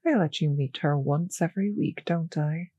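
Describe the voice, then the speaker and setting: A woman playing a cruel, possessive vampire mistress in an intimate audio roleplay, speaking in a slow, teasing, condescending purr with breathy, whispered phrases. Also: low-pitched, warm tone, dry recording, highs cut off. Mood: condescending, veiled threat. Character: cruel, possessive, seductive female vampire mistress toying with servant.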